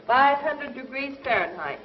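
An earnest, worried young rocket crewwoman from a 1950s serial. She speaks at a slow, careful pace. The old recording is dull and echoey. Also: high-pitched, animated, a little hiss or hum. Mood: businesslike. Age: young adult. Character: earnest, anxious young female rocket crew member. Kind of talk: 1950s television serial acting.

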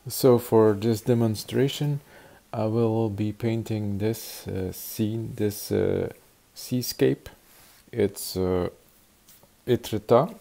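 A man in his forties from Flanders with a Flemish Dutch accent, teaching watercolor in halting English full of "uh" fillers, speaking into a webcam in an attic studio. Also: lowish voice, vocal fry, dark tone. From Belgium (Flanders). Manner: halting, many "uh" fillers, searching for words. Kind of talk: hesitant tutorial narration. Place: attic studio with wooden beams.

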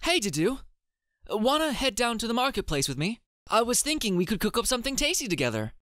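Woman as a shy, friendly classmate, animated. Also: a little high, brisk, no echo, clean studio sound.

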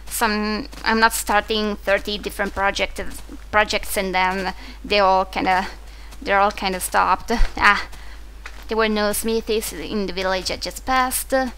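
A woman's voice: medium pitch, fairly even intonation, unhurried, bright, forceful tone, slight background noise, low-quality recording. A young woman with an Italian accent, Italian her first language, chatting casually and rambling as she plays a video game. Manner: chatty, rambling, frequent restarts and fillers. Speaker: gaming video host. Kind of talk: casual commentary while playing.

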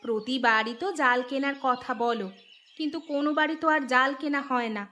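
A woman's voice: high voice, fairly quick, sharp tone. A woman voicing a caring village mother and fisherman's wife in a cartoon, nagging and scolding sarcastically. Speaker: voice actor. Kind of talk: cartoon dubbing. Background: music.

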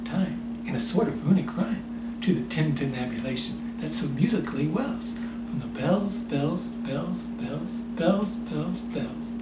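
A man in his sixties giving an intense, theatrical poetry recitation, drawing out repeated words. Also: on the high side. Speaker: poetry performer. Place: small indoor room. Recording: echoey room, loud background noise.

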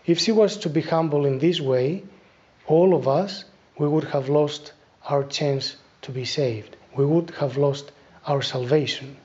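Mellow Greek-accented preacher, a man. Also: fairly high pitch, dull audio. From Greece. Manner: deliberate, instructive, with pauses. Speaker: Orthodox abbot giving a talk. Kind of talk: spiritual talk, reflective preaching. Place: small church, indoors at a lectern.

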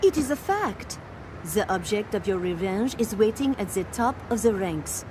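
A woman's voice: mid-range voice, very animated, moderate pace, noisy background, natural voice. A woman voicing a cool, sly blonde handler of an assassin contest in a video game cutscene. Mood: cool, teasing. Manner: coolly detached, sly.

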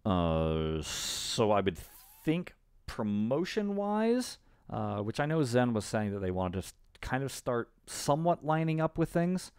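A man's voice: medium pitch, very animated, a little slow, a little mellow, clear, resonant tone, no echo. A middle-aged male podcast co-host in casual, speculative chat, thinking aloud, recorded close on a microphone in a small room. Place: small home room, indoors. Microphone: close boom-arm podcast microphone.

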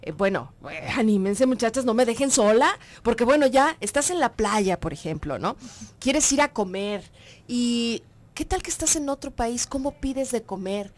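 A young woman from Mexico hosts a morning radio talk show in lively Mexican Spanish. She chats easily, asks her guest questions and calls out greetings to listeners, close on a studio mic. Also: fairly high pitch, normal speed. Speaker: radio host. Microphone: studio microphone on a boom.

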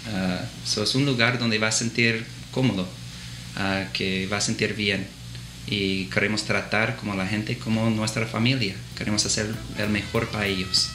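A man's voice: lowish voice, flat delivery, moderate pace, reverberant, background noise. A man in his thirties, a physician assistant, speaking Spanish with an American accent learned in the Dominican Republic, hesitating and restarting often in a clinic office interview. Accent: American English speaker with Dominican-learned Spanish. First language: English. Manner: hesitant, many filler sounds and restarts. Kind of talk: interview answers.

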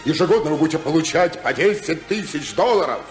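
An actor playing a sly, oily newspaperman offering bribes in a 1950s radio play, with insinuating, theatrical, drawn-out phrasing. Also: a little high, expressive, close to an everyday voice. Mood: ingratiating, persuasive. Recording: roomy 1955 radio recording, faint music.

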